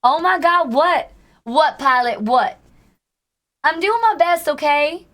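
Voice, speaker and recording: A hoarse woman streamer chatting casually with her viewers in a put-on Southern US accent, greeting and thanking them by name. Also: high voice, animated, slow, fairly bright tone. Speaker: livestreamer. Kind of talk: casual livestream chat with viewers.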